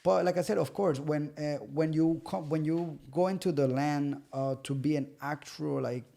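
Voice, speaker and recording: Gravelly, mellow-voiced man telling stories. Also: on the high side, normal speed. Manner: animated storytelling, acts out quoted voices; loose, repetitive phrasing with many restarts. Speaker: pro MMA fighter guest. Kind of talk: casual podcast chat.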